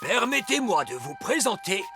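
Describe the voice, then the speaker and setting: A man's voice: high-pitched, very animated, moderate pace, over music. A man voicing a wise old male elf in a cartoon, stern and proud, with an emphatic, officious delivery. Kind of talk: French cartoon dub acting.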